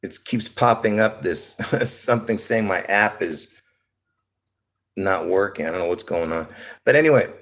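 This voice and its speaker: A man's voice: on the low side, a little slow, fairly bright tone. A man from Lebanon rambling through a solo livestream, often stopping to ask "okay?" or "all right?" and chuckling between thoughts. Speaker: YouTube livestreamer. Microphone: phone.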